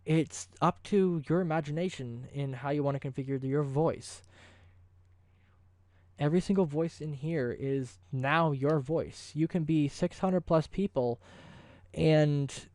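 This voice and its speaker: A man's voice: a little high, measured pace, darkish tone, dry recording. A man hosting a video, talking casually and off the cuff in an effusive, rambling promotional style. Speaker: video host. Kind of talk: casual ad-libbed promotional vlog talk.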